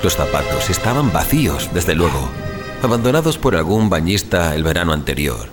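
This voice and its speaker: A man's voice: low-pitched, sing-song, moderate pace. A man narrating a scripted suspense horror story as an audio fiction narrator. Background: music.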